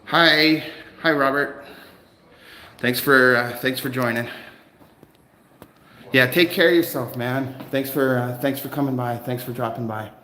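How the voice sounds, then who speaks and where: A man's voice: mid-range voice, fairly even intonation, measured pace, pauses often, pushed and bright, roomy sound. A man with a slight Canadian accent, chatting casually to livestream viewers and answering their comments as he waits in a public building. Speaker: citizen auditor livestreamer.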